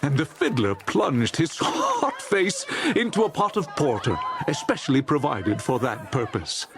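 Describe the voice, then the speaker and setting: A man's voice: a little high, wildly swooping pitch, measured pace, a faint crowd. A man narrating a dramatized storybook in a theatrical, jovial manner. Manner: theatrical, jovial, relishing lists. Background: music.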